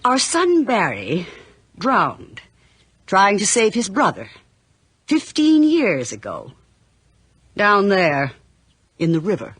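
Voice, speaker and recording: Very animated, husky middle-aged woman. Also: mid-range voice, takes it slowly, pauses often, no echo, full character voice. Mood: puzzled, grave. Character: chatty, kindly middle-aged married cottage owner. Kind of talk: naturalistic radio drama acting.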